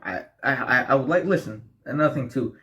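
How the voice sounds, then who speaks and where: Young male vlogger, late teens, preachy and profane, keeps saying "right?", average pitch. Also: expressive, brisk, a bit bright, dull audio. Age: young adult. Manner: blunt, profane, preachy motivational talk; addresses viewers as guys, asks right? often. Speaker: self-improvement vlogger. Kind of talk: direct-to-camera advice vlog.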